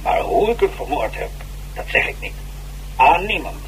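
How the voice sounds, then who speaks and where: A man's voice: on the high side, sing-song, moderate pace, a bit bright. A man in a Dutch radio drama playing an agitated, boastful old stage actor who stammers theatrically and defensively. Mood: evasive, boastful. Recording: noisy, humming old radio recording.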